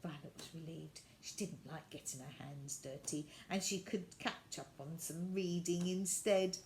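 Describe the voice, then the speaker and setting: A woman reading a children's story aloud, with deliberate, expressive narration. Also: deep voice, heavy background noise.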